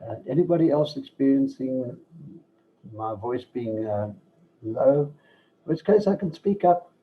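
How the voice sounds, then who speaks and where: Male presenter, measured online lecture voice, average pitch, muffled low-fi call audio. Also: lively intonation, slight background noise. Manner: measured, pauses with fillers, lists points. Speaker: masterclass presenter. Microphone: computer mic without headset.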